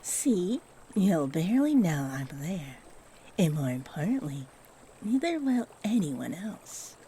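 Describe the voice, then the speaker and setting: A kindly, doting fairy played by a woman speaks to the listener in a fantasy audio roleplay. Her voice is mellow and unhurried, swooping expressively as she gently fusses and encourages. A faint wash of stream ambience sits behind her. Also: on the low side, sing-song, slight background noise. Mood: fond, playful. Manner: gentle, encouraging, fussing, with sweet endearments. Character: kindly, doting fairy who gives magical makeovers.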